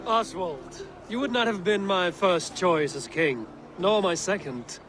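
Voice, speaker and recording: An actor playing a stoic, blunt and loyal Viking warrior in a dramatic video game. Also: male voice, high voice, measured pace. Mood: proud, sincere. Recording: music behind the voice.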